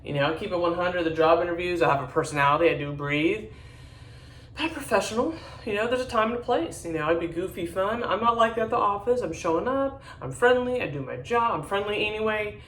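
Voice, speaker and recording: A deep-voiced woman in her forties chatting casually to her viewers, rambling, blunt and candid. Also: animated, fairly quick, recorded from a distance, a little hiss or hum. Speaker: vlogger. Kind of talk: casual chat to camera. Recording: echoey room, voice a bit off-mic.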